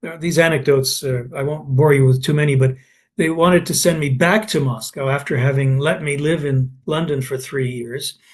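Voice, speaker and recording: A man's voice: fairly high pitch, steady tone, normal speed, a little mellow. An older man from Canada in an oral history interview, telling anecdotes with many restarts and fillers.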